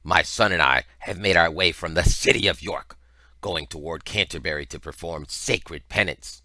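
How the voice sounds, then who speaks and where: A man voicing a big, ceremonious traveling juggler in an audiobook, speaking loudly with humble, pleading piety. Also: low voice, normal speed, dry recording. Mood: humble, proclaiming. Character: big devout-seeming traveling juggler, loud, humble, grandiose. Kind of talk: audiobook character voice.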